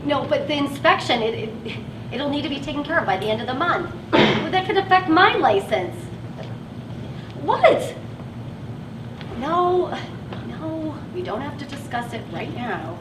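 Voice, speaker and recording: A woman's voice: high-pitched, big pitch swings, measured pace, pauses often. An actress on stage playing a frustrated working woman who drives a van and feels stuck in her job. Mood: polite, frustrated. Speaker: actress in a one-act play. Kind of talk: live stage play acting. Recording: echoey, distant, noisy live stage recording.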